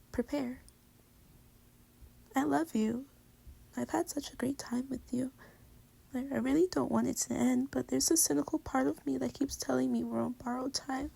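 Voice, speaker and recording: A woman playing an affectionate, teasing girlfriend with a hidden insecurity, in intimate pillow-talk roleplay audio, often slipping into breathy whispers. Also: sits high, mellow, full character voice. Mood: hesitant, vulnerable, then tender.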